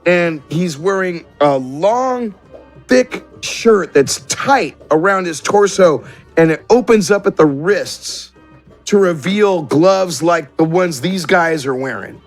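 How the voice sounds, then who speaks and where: Guy game mastering a tabletop rpg, higher voice, describing stuff bit by bit, background music going. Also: animated, takes it slowly. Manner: descriptive, piecing scenes together phrase by phrase. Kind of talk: improvised tabletop game narration.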